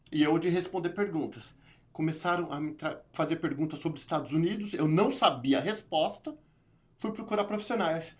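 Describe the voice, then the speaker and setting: A man from Brazil with a São Paulo accent, a guest in an interview, telling his story in an animated, casual way with frequent restarts. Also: fairly high pitch. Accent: São Paulo Brazilian Portuguese. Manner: animated, talks in quick bursts with many restarts. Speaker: interview guest, YouTube channel creator.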